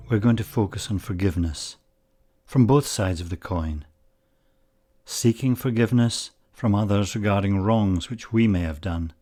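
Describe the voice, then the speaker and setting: Calm guy doing guided meditation, soft mellow tone, really slow with long gaps. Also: fairly low pitch. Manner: calm, measured, short phrases with long pauses.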